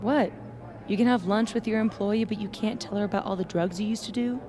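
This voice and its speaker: A woman's voice: mid-range voice, a little fast, natural voice. Woman as a cheeky young woman amid chatter. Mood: teasing, exasperated. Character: cheeky, outspoken grown daughter needling her mother. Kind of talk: naturalistic video game acting.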